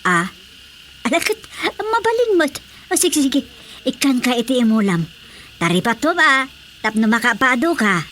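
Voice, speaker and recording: A woman's voice: high-pitched, big pitch swings, normal speed, pauses often, a bit bright, slight background noise. An actress with an Ilocano accent playing a chatty, teasing village woman who loves gardening in a radio drama comedy. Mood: chatty, encouraging.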